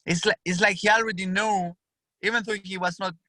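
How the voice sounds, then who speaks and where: A young man with an Albanian accent, whose first language is Albanian, telling a story as a podcast guest, animated and full of restarts. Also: high-pitched, brisk, no room sound. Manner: animated, acts out his own past lines with frequent restarts. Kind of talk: casual storytelling.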